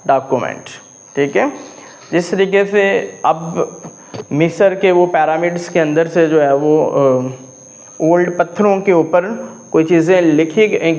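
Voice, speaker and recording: A man with a Pakistani accent lecturing as a law teacher, explaining a text point by point and often pausing to check understanding. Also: on the high side, a little mellow, rough recording. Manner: didactic, repeatedly checking understanding.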